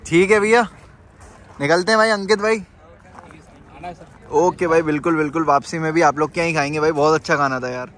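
A man's voice: a little high, a little fast, long pauses, fairly bright tone. A young man from India, chatting upbeat in a road trip vlog and leaning on fillers like "matlab" and "yaar". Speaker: vlogger. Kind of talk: casual travel vlog chat. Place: inside a car on the highway and at an outdoor roadside eatery. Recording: road noise and outdoor hum behind.

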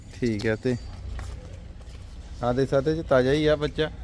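A man hosts a livestock video, his voice at a mid pitch. He talks in a friendly, promotional way with short acknowledgments. There is faint outdoor noise behind him in the farmyard. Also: measured pace, a little mellow. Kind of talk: casual interview.

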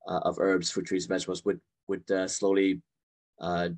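A man's voice: low voice, completely flat delivery, normal speed. A man in his forties, born in Vietnam, answering audience questions on a video call from a room at home, hesitant with many fillers and restarts. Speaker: guest speaker. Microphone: video call webcam.

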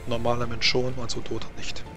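A man acts as game master for a tabletop role-playing session, with an average-pitched voice. He talks casually and a bit haltingly, working things out aloud. Music plays clearly behind him. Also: monotone.

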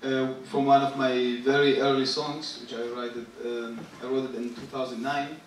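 Halting young man in a roomy hall. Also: medium pitch, little pitch movement, distant mic, slight background noise. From Syria. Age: 20s. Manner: halting, searching for words, restarts. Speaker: rapper and guest artist. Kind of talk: stage talk. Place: large theater stage with live audience. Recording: echoey stage, picked up from afar.

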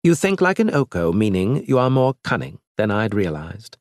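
A man voicing a cunning, fussy alien male trader, raising his voice high and indignant. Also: very animated, normal speed, a little mellow, no room sound, clean studio sound. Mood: wheedling.

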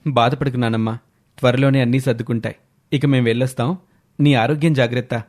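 A man's voice: mid-range voice, steady tone, a little fast, darkish tone, natural voice. A man voicing a respectful, dutiful young man in a family story, polite and earnest, with music behind. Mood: caring. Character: respectful young adult grandsons, earnest, dutiful, caring brothers.

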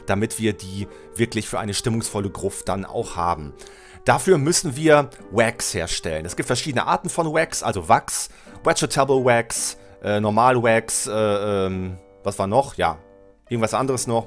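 A middle-aged man with a head cold narrates his gameplay in a chatty, easygoing way, talking straight to the viewer. His voice sits lower than usual from the cold. He thinks out loud and catches his own slips, speaking into a headset mic at home with faint music behind him. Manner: chatty, thinks aloud, self-correcting, addresses viewer. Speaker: Let's Play host. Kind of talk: casual gameplay commentary. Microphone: headset mic at desk, webcam.

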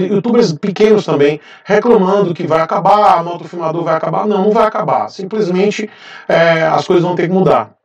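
A man's voice: on the high side, darkish tone, roomy sound, distant mic, lo-fi sound. A man in his thirties from Brazil, hosting a casual motorcycle vlog, rambling with frequent fillers and restarts. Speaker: motovlogger, channel host. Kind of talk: casual talking-head vlog. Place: indoor garage with motorcycles. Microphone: lapel mic.

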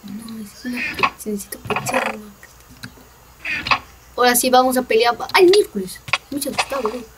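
A woman's voice: on the high side, fairly quick. Playful young woman from Peru, gaming. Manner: playful, teasing, laughs a lot. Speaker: gaming channel host. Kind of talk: casual gameplay chat. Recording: noisy mic, voice from far.

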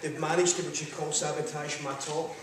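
A man's voice: fairly high pitch, level tone, brisk, a loud crowd. A man with a Scottish accent giving a public lecture, chatty and jokey, often saying "right, okay.". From Scotland. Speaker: guest lecturer. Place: large lecture hall, standing at podium. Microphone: far camera. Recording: echoey hall from far off.

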